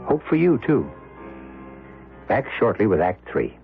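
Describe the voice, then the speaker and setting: A man hosting and narrating a radio mystery in a deliberate, suave, ominous way with dramatic pauses. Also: on the low side, big pitch swings. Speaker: host and narrator. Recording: muffled old radio broadcast over music.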